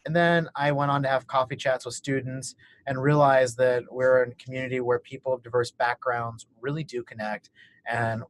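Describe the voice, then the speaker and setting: A man's voice: mid-range voice, flat delivery, normal speed, low-quality recording. A young man from Kentucky, an MBA student on a webinar panel, telling his story in an upbeat, chatty way and laughing now and then. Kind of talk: casual webinar chat.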